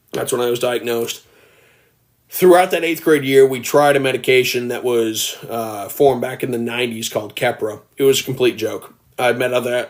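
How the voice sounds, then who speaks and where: A man in his early twenties hosting a casual livestream, talking earnestly and at length and reading viewer comments aloud, his voice turning gravelly at times. He is indoors at home, talking into a phone. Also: medium pitch. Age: early 20s. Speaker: livestream host.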